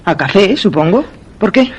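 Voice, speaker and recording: A man's voice: high voice, very animated, moderate pace, breathy edge, heavily acted. An actor playing a polite, apologetic man reading aloud to a companion in a radio drama, with a Castilian Spanish accent. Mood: polite, attentive. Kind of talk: radio theatre dialogue.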